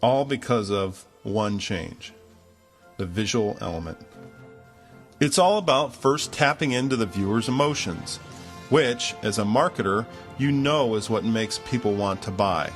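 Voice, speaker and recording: A man's voice: fairly low pitch, animated, unhurried. Persuasive man narrating over music. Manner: persuasive, rhetorical. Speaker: marketer narrating a promotional video. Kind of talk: scripted sales narration. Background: background music.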